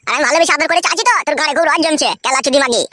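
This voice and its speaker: A man plays a young village husband from a crude comedy cartoon, shrill and extremely quick. He swears and badgers, hot-headed and whiny, in a close, dry sound. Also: bright, forceful tone, no echo. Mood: angry, frustrated.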